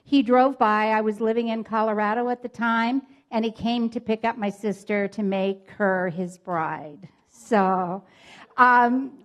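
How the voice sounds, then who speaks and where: Woman, slightly high voice, chatty introduction, halting, meeting hall. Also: steady tone.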